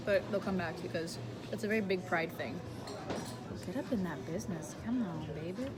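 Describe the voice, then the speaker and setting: A woman's voice: lowish voice, normal speed, heavy background noise. A young woman from Brazil, chatting playfully over a meal with lots of fillers. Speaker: guest. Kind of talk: casual mukbang chat. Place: restaurant table. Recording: loud background music.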